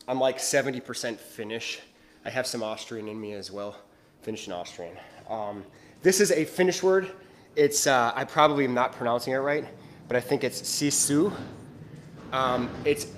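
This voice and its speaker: A male bodybuilder vlogging to his viewers in casual chat, rambling and hesitant, with lots of fillers and restarts. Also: medium pitch.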